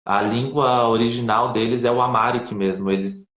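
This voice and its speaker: A man's voice: medium pitch, fairly even intonation, normal speed, a bit bright. A man, a missionary guest on a video call, adding facts in short, matter-of-fact remarks. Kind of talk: casual conversation. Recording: echoey, lo-fi call audio.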